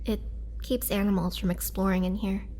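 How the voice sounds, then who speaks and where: A woman playing a gentle, remorseful young vampire in an intimate roleplay audio drama, speaking softly and earnestly with halting, sometimes whispered delivery. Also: mid-range voice, little pitch movement, a little hiss or hum, lightly acted. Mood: reassuring, earnest.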